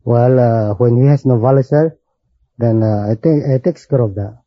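A man from the Philippines with a Filipino accent and a husky voice, answering interview questions politely and hesitantly as a contestant. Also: medium pitch, normal speed, no echo. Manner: polite, deferential, hesitant, often says sir. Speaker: quiz contestant, butler. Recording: dull old radio recording, audience noise.

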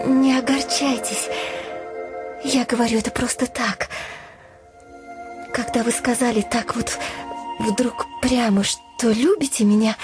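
A moody young princess in a radio play, high-pitched and slow. She is proud yet wounded, hesitant and theatrical, sliding into breathy hushes, with music playing behind her. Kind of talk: stage-like radio drama acting.

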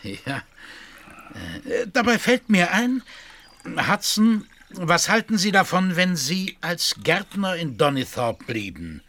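An actor playing a genteel, intimidated elderly country squire in a radio drama, speaking with hesitant politeness. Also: male voice, high voice, very animated, slow, pushed and bright, no echo, heavily acted. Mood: flustered, forced cheer. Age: older.

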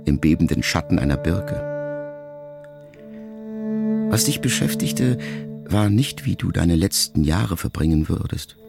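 Deep creaky-voiced man as a brooding grown son talking quietly reflective to his dying mother, radio play, music behind. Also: animated, heavily acted. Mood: reflective, searching. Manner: reflective, measured, questioning. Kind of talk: intimate first-person literary monologue in a radio drama.

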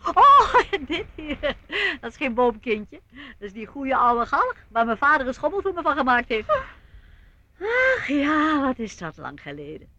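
A woman's voice: sits high, wildly swooping pitch, unhurried, bright, forceful tone, muffled recording, exaggerated voice. An actress playing a cheerful, eccentric elderly aunt in a radio play, breezy and fondly condescending, with chuckles in her speech. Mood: breezy, nostalgic.

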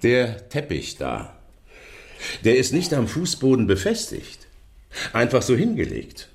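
An actor playing a self-assured, chatty police inspector in a German radio drama, explaining things in a smug, teasing way. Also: male voice, mid-range voice, wildly swooping pitch, unhurried, gravelly, exaggerated voice. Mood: confiding.

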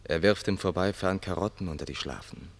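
A man's voice: sits low, fast, vocal fry. An actor in a German radio play as a watchful, deferential attendant to a blindfolded statesman, reporting observantly what is seen.

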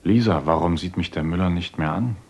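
An actor playing an idealistic, naive young village teacher in a radio drama, who talks in a mild, hesitant way. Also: male voice, low-pitched, fairly quick, vocal fry, lo-fi sound, loud music behind the voice. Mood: earnest.

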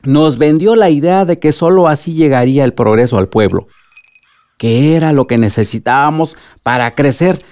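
An actor playing a wise, loving elderly village grandfather in a radio drama, with a Mexican accent and a measured, reflective way of advising. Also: on the high side, a little mellow, no room sound, natural voice. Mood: grave, advising. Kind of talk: Spanish-language radio drama acting.

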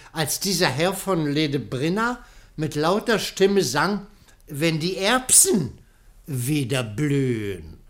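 A man playing a pompous public prosecutor in a radio play, pleading in court with declamatory speech and rhetorical pauses. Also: a little high.

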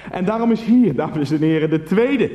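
A man's voice: high-pitched, lively intonation, normal speed, echoey room, muffled recording. An actor playing a pushy, gushing TV game show host in a radio play parody, theatrical and repeating himself as he goads. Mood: gleeful.

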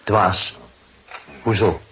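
A man playing a seasoned, thoughtful police detective in a Dutch radio drama, questioning deliberately with hesitant repetitions. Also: on the low side, fairly even intonation, fairly bright tone, a little hiss or hum, rough recording. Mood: grave, probing.

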